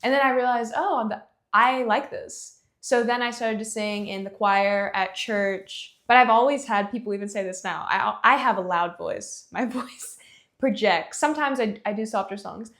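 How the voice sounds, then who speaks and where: A woman's voice: mid-range voice, normal speed, fairly bright tone. Young woman singer-songwriter from georgia, casual chatty interview talk, lots of little laughs and "you know". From Athens, Georgia.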